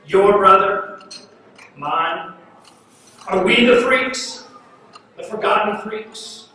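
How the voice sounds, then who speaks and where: A man reciting spoken-word poetry in a declamatory, incantatory manner, leaning on insistent repetition and emphatic pauses. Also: sits high, pushed and bright. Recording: very echoey hall, distant mic, faint noise.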